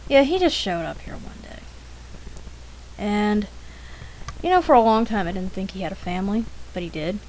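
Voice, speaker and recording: A woman's voice: mid-range voice, animated, moderate pace, pauses often, a little mellow, slight background noise, poor audio. A woman giving casual, rambling, anecdotal commentary as an artist, in a chatty, informal manner. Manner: chatty, informal, frequent throat clearing. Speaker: artist narrating a drawing demonstration.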